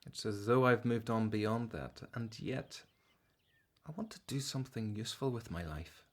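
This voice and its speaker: An actor playing an earnest, weary disciple in biblical radio drama dialogue, sober and questioning. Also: male voice, on the low side, moderate pace. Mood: downcast.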